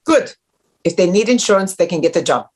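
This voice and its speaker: A woman's voice: on the low side, lively intonation, a little fast, darkish tone, natural voice. A woman acting a stern, devout conservative mother who is easily offended, curt and disapproving, in a comedy table read. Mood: scolding.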